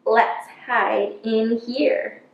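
A woman voicing eager, clever little mice from a children's storybook, with emphatic, drawn-out words. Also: a little high, level tone, vocal fry, highs cut off, full character voice. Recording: roomy indoor echo.

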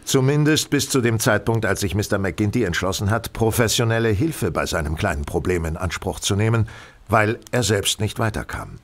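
A man acting in an audio drama as a shrewd, self-assured gentleman detective who speaks formally and precisely, courteous but insistent. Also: lowish voice, moderate pace, close to an everyday voice. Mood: confident, explaining.

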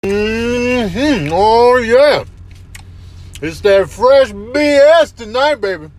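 A man's voice: very high voice, animated, extremely slow, darkish tone, heavily acted. A man voicing a smug, hungry little creature, gloating in slang for a comic animal voiceover. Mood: gloating, eager.

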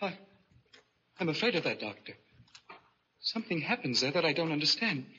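An actor playing an earnest, haunted walking dead man in a 1940s radio drama, grave and halting. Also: on the high side, sing-song, sharp tone, slightly rough, heavily acted. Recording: muffled, noisy 1940s radio recording.

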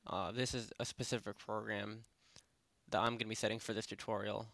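A man narrating a software tutorial in a casual, unscripted, rambling way, speaking informally with lots of filler phrases. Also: lowish voice, little pitch movement, a little fast, gravelly, no echo. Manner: rambling, informal, repeats "basically" and "you know". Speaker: tutorial presenter. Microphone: close headset microphone.

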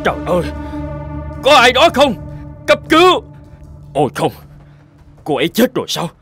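An actor playing a stout, curious, easily alarmed middle-aged husband in a cartoon, exclaiming and muttering. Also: male voice, very high voice, huge pitch swings, normal speed, sharp tone, soft background music, full character voice. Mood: terrified. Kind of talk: animated cartoon voice acting.

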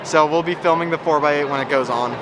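A man's voice: on the high side, fast, heavy background noise. A teenage man vlogging at an indoor track meet, chatting and joking with teammates, filmed on a handheld camera. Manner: playful, joking. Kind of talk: casual vlog chat.

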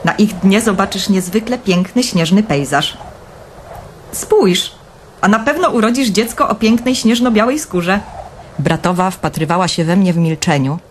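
A woman's voice: mid-range voice, normal speed, slight background noise, natural voice. An actress playing a dreamy, wry young woman who narrates her own story in a radio drama.

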